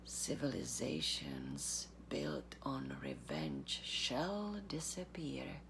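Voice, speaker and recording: A woman giving a literary reading, with deliberate, measured phrasing, long pauses and a breathy, gravelly voice. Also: extremely low pitch, huge pitch swings, background noise. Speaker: artistic director of a theatre, reading aloud.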